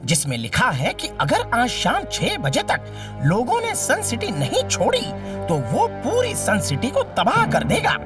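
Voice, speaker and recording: Swooping cartoon assistant. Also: female voice, a little high, wildly swooping pitch, measured pace. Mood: urgent. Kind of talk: Hindi children's cartoon dubbing. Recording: distant sound under clear music.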